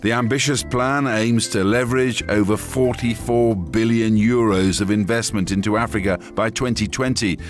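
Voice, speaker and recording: Low formal newsreader with faint music. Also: male voice, fairly even intonation, normal speed, hardly any pauses. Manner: crisp, formal. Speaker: news narrator. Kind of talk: scripted narration.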